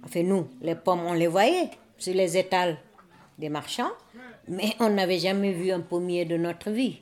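An older woman from Senegal, slowly recalling her life in an interview. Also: lowish voice, darkish tone. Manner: slow, reflective storytelling.

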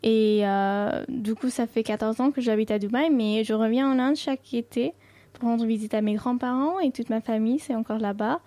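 19 y/o woman from india, sindhi first language, fairly high voice, lots of euh, dry close mic. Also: normal speed, warm tone, no echo. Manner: hesitant, many fillers, light laughter. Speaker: guest. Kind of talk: casual interview answers.

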